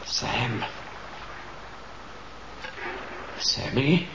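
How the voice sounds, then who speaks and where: An actor playing a wry, terse, hard-boiled private detective in a radio drama. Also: male voice, extremely slow, noisy background. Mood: groggy, searching.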